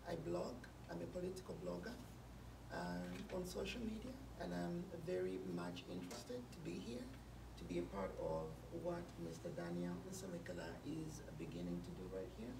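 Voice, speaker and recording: A woman speaks in a low, heavily gravelly voice with pride. She is picked up from a distance in a roomy space with noise underneath. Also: huge pitch swings, moderate pace. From Zambia. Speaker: political blogger. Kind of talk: talk show panel introduction. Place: studio. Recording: roomy, distant mic, noisy.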